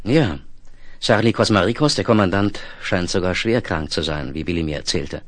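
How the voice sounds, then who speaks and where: An actor playing a crafty, daring, smooth-talking photo reporter in a 1970s German radio play. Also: male voice, low-pitched, moderate pace, dry recording, lightly acted. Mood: concerned.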